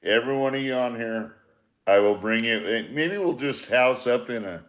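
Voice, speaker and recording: A man's voice: medium pitch, animated, moderate pace. An older man chatting casually on a reunion call, telling stories in a blunt, profanity-laced way. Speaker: former baseball manager, podcast guest. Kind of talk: casual reunion chat, storytelling.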